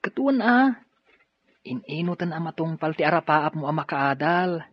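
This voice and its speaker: A man's voice: fairly high pitch, animated, normal speed, husky, dull audio. A man acting a supportive, encouraging young friend in Ilocano radio drama, with an Ilocano accent.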